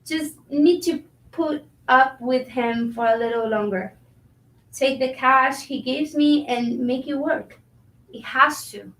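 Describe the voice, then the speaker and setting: A woman acting a weary, determined working immigrant in a staged play reading, measured and resolute. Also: sits high, a bit bright, full character voice. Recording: roomy echo, distant mic.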